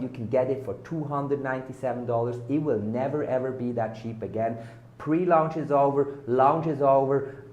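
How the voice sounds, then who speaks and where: A man's voice: mid-range voice, a little mellow. A man with a German accent, a German native speaker, giving a hyped, salesy marketing vlog full of repeated buzzwords. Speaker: online marketing vlogger. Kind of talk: casual direct-to-camera sales talk. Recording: echoey room.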